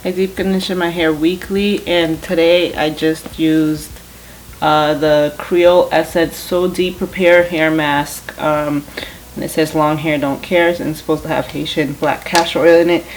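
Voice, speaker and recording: Low-voiced rambling woman in her twenties. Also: level tone, a little slow, a little hiss or hum, rough recording. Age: 20s. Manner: rambling, repeats herself, many fillers. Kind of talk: casual chat while doing her hair.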